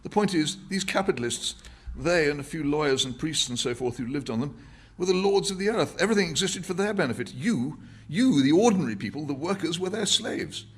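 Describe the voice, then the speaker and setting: A man's voice: a little high, lively intonation, brisk, slightly rough, pitched above the performer's own voice. A man voicing an earnest, patient questioner who presses his points articulately in a novel reading. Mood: insistent.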